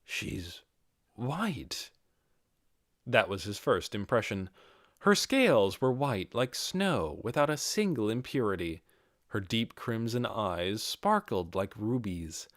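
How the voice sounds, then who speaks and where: A man narrating a scripted fantasy audiobook with expressive, dramatic storytelling. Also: lowish voice, measured pace, no echo, studio quality.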